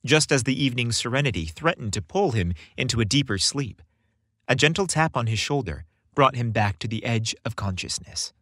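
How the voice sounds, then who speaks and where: A man's voice: mid-range voice, lively intonation, brisk, a bit bright, no echo, studio quality. A man reading a romantic story aloud as a scripted narration.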